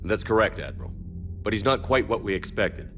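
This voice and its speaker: An actor playing a resolute military commander, speaking in a firm, measured, plain way. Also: male voice, on the low side, animated, background noise, lightly acted. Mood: businesslike.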